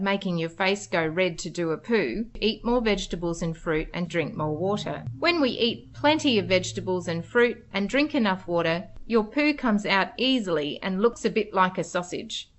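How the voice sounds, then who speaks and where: A woman's voice: medium pitch, lively intonation, normal speed, hardly any pauses. A woman reading scripted educational narration for preschoolers in simple, instructive phrases. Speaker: narrator of children's educational material.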